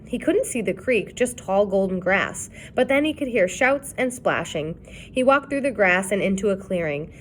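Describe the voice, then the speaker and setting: A woman reading a children's chapter book aloud in an expressive storytelling style. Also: mid-range voice, a little hiss or hum. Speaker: reader.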